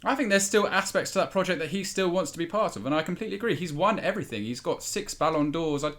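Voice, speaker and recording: Bright, crisp-toned man debating football. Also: high voice, lively intonation, fast. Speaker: podcast guest pundit.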